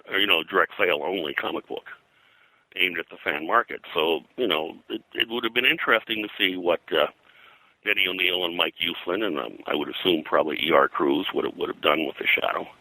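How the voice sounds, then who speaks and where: Older guy, really low forceful voice, super dry sound, halting interview rambling, clears his throat a lot. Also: no room sound, poor audio. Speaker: interview guest, comics historian. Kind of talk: reminiscing in a casual interview.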